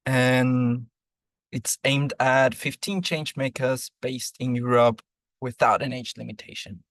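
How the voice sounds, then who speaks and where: A man from Argentina, a program lead, answering interview questions in a measured way with some ums. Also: medium pitch, fairly even intonation, fairly bright tone, no echo, studio quality.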